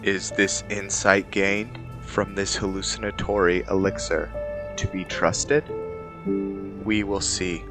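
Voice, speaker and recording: A man presenting a fantasy roleplay game playthrough as its host and narrator, speaking in an unhurried way. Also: low voice. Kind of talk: dramatic story narration, then informal commentary.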